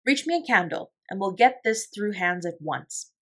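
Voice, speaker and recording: A woman's voice: medium pitch, animated, normal speed, a bit bright. A woman plays a loyal old butler in a storybook tale. He is frightened yet determined, speaking with deference and urgent, emphatic force. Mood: fierce.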